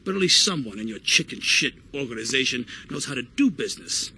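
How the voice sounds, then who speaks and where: A man's voice: mid-range voice, expressive, brisk, sharp tone, slight background noise. An actor playing a swaggering, menacing Mafia boss in a video game, taunting and domineering as he talks. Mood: smooth menace. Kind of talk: video game cutscene acting.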